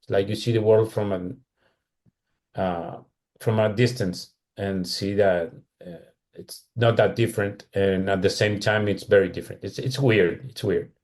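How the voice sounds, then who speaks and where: A man's voice: on the low side, steady tone, unhurried, darkish tone. A man in his forties from Argentina with a Spanish-speaking accent, chatting casually and reflectively as an interview guest. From Argentina, also California and Spain. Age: 40s. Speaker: podcast guest, comic artist.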